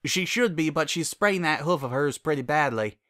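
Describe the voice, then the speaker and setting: A man's voice: on the high side, lively intonation, moderate pace, pushed and bright, voice pushed up. A man voicing a plain-spoken, practical country farm mare, caring and firm, with a folksy, blunt manner. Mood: grave, urgent.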